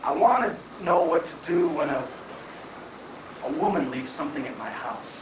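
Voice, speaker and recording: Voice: mid-range voice, very animated, moderate pace, close to an everyday voice. A performer playing a frustrated, insecure man who hesitantly asks a guru for advice, in a dramatic reading of a satirical play. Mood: plaintive, puzzled. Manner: hesitant, plaintive. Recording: echoey room, far from mic, noisy.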